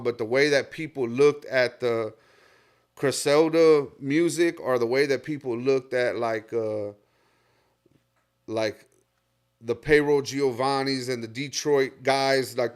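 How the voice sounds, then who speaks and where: A Texan man chatting as a podcast guest, his voice sitting at an ordinary middle pitch. He tells long stories at an easy pace, swears often and fills gaps with "like" and "you know what I mean.". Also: a little slow. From Texas. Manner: laid-back, profane storytelling with lots of fillers. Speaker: guest rapper. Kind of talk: casual podcast chat.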